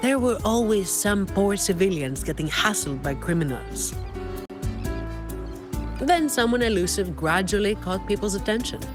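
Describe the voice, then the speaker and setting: A woman playing a composed, helpful informant in a video game, briefing an ally in a measured, explanatory way. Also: mid-range voice, expressive, natural voice. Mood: measured, storytelling. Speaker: game character giving briefing and lore. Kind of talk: scripted video game dialogue. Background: instrumental music.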